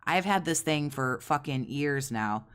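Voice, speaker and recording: Brief: low-voiced woman streamer, sarcastic and profane, mocking commentary on a desk mic. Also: lively intonation, a little slow, smooth. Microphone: desk USB condenser mic with pop filter.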